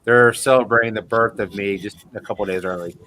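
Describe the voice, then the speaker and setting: A man's voice: on the low side, steady tone, a little fast, bright, forceful tone, a little hiss or hum. A man in his forties hosting a casual livestream chat, telling rambling, self-deprecating stories over a call mic. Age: 40s. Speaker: host.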